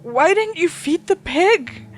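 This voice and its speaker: A woman's voice: very high-pitched, expressive, takes it slowly, faint music behind, pitched above the performer's own voice, exaggerated voice. A woman voicing a frightened, desperate newcomer to a hellish afterlife in a horror story, pleading and emotional. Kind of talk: horror story character acting.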